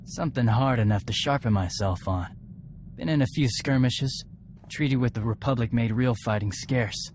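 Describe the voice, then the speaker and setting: An earnest young male warrior from a game cutscene, acted by a man, with a medium-pitched voice. He is terse and plain-spoken, hungry for a fight, with faint noise behind him. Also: moderate pace, a little mellow, natural voice. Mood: earnest, restrained. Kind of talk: video game cutscene acting.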